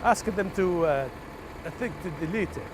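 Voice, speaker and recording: Man from Algeria, middle-aged, non-native English speaker, slightly high voice, halting casual recollection, noisy location recording. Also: lively intonation. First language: not English. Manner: hesitant, repeats words. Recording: clearly noisy documentary location sound.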